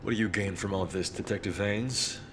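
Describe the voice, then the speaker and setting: A man's voice: on the low side, moderate pace, fairly bright tone, a little hiss or hum, lightly acted. An actor playing a wary, methodical male police detective in an audio drama, grave and blunt. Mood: skeptical.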